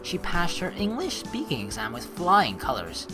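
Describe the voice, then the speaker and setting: Young man, from the Netherlands, game streamer, highly animated pitch, casual crude banter, music behind. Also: normal speed. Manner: crude joking, swearing, animated. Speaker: let's play streamer. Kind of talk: game playthrough reading aloud with casual chat. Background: video game music.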